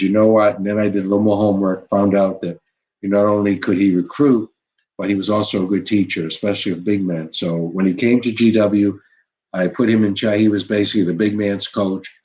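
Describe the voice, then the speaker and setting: An older man, a former basketball coach, telling stories from his career in an unhurried interview, full of "you know" and restarts. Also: lowish voice, fairly even intonation, dark tone, poor audio. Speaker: former college basketball head coach, guest. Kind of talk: reflective interview storytelling.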